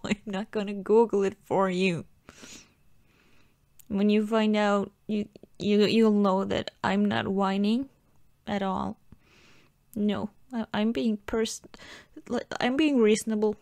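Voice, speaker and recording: A woman playing a playfully whiny, pouting girlfriend in an improvised ASMR roleplay, with halting, giggly, mock-sulky pleading. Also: mid-range voice, fairly even intonation, creaky, darkish tone, dry recording, studio quality. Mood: giggly protesting.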